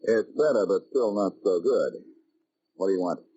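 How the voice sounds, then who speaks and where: A man's voice: low-pitched, lively intonation, normal speed, warm tone. A man playing a wisecracking, unflappable private detective in an old-time radio drama, with wry, glib banter. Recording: noisy, muffled old radio recording.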